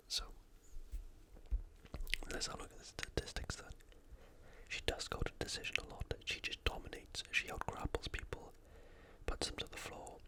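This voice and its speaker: A host whispering an informal, opinionated sports preview in ASMR style, all breath and no voice. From United Kingdom.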